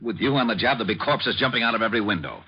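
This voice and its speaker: Deep, husky, sardonic police lieutenant. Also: male voice, expressive, rapid-fire. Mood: weary, sardonic. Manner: dry, exasperated, bossy. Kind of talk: 1940s-50s radio detective drama acting. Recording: muffled old radio recording.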